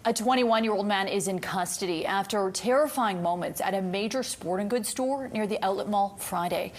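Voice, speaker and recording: A woman's voice: medium pitch, moderate pace. A woman reading the news as a morning anchor.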